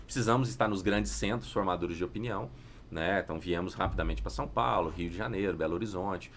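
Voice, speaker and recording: A man's voice: low-pitched, quick pace, fairly bright tone, a little hiss or hum. A Brazilian man speaking as a podcast guest in a casual, informal business conversation, illustrating points with little stories.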